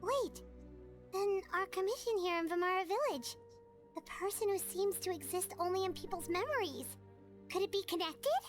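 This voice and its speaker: A woman voicing a small, childlike fairy companion in a video game, squeaky, perky, eager and chatty. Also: expressive, moderate pace, soft background music. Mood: curious. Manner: perky, exclaiming, refers to self by name. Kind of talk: video game character acting.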